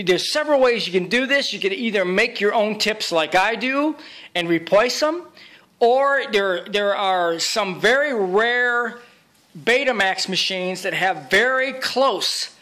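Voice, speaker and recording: A man with a noticeably high voice narrates an informal how-to. He talks like a hands-on technician sure of his own skill. His delivery is emphatic and boastful. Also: bright, forceful tone. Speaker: video repair technician.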